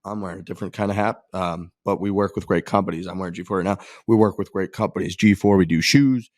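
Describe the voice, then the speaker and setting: A man's voice: deep voice, steady tone, fast, dry recording, studio quality. A man hosting a golf podcast, chatting loosely and running through a casual ad read with lots of repeats. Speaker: podcast host.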